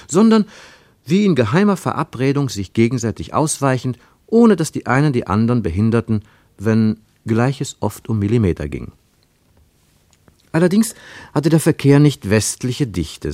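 A man narrating a radio play as a scripted literary story, with expressive storytelling delivery. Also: lowish voice, no room sound, studio quality.

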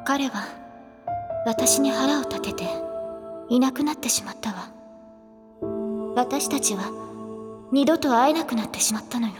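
A woman's voice: high-pitched, measured pace, pauses often. An actress playing a spoiled, willful young rich girl in an audio drama flashback, with a childlike, imperious way of talking. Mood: sorrowful, hushed. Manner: imperious yet childlike coaxing. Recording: long reverb, music behind.

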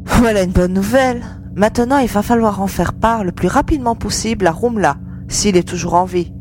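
A woman's voice: mid-range voice, fairly quick, lightly acted. A woman playing an earnest young female adventurer who cares about her comrades, in an audio serial. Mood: relieved, thoughtful. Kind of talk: acted dialogue in an amateur audio serial. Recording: clear hum and background noise.

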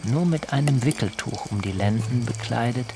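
A man playing an earnest, shy young idealist and sun worshipper in a German radio drama, speaking in formal, high-flown phrases. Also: moderate pace, music in the background, natural voice. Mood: fervent. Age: young adult.

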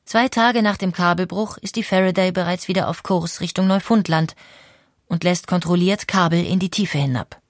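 A woman's voice: on the low side, normal speed, no room sound, clean studio sound. A woman reading scripted documentary narration as the narrator. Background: music.

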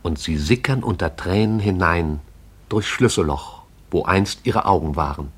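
An actor with a husky voice as the poetic, all-seeing narrator of a radio play. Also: male voice, low voice, measured pace. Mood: lyrical, wry.

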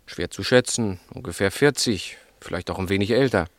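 Low-voiced, emphatic milk bar owner, acted by a man. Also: brisk, dry recording, natural voice. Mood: insistent. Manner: emphatic, certain, colloquial. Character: agitated, decisive male milk bar owner and eyewitness. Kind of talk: German radio crime drama acting.

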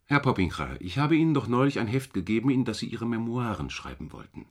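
Lebhaft modulierender, jovialer Anstaltsarzt. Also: male voice, medium pitch, very animated, fast. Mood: patronising, inquiring. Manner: hearty, patronising. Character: jovial, patronising male asylum doctor of middle age. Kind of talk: radio drama acting.

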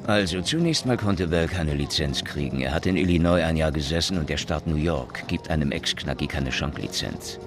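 An actor playing a sardonic, methodical police detective with a dry, probing manner in a crime radio play. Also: male voice, rumbling low, animated, normal speed, few pauses, music in the background. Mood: matter-of-fact. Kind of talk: hard-boiled radio crime drama acting.

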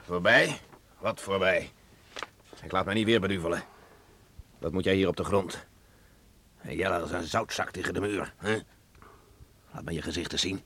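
A low-voiced, stern middle-aged farmer father, stubborn and weighed down by remorse. He broods and halts in a radio drama, with faint noise on the recording. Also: a bit bright. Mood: angry, domineering. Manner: brooding, halting, muttering to himself. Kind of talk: Dutch radio play acting.

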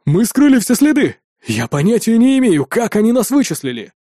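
A man's voice: very high voice, animated, a little fast, dark tone, no echo, studio quality, voice pushed up. A man voicing a big, hot-tempered biker gang leader, protesting and growling, in an audiobook story.

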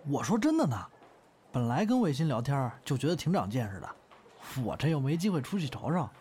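A man's voice: medium pitch, sing-song, brisk, dark tone, a little hiss or hum, lightly acted. A man playing a modest young comic performer in an audio drama, hesitant and prone to stammering when flustered. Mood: earnest. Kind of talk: Chinese audio drama dialogue. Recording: music behind the voice.

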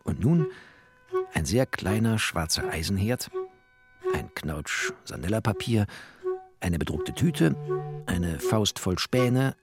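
An actor playing a wry, bookish narrator, a poor postwar settler, in literary first-person narration. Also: male voice, fairly low pitch, big pitch swings, slow, long pauses, loud music behind the voice, full character voice. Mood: wry, matter-of-fact. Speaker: narrator of a literary radio play.

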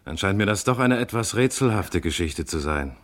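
A man playing a calm, courteous police detective asking probing questions in a 1950s radio crime drama. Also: fairly low pitch, normal speed, close to an everyday voice. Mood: sober, probing.

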